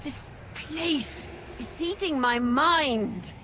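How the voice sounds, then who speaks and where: An actress playing a frantic, half-mad curator, agitated and theatrical, in a video game cinematic. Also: female voice, high voice, drawn-out words. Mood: frantic, relieved. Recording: clear background noise and ambience.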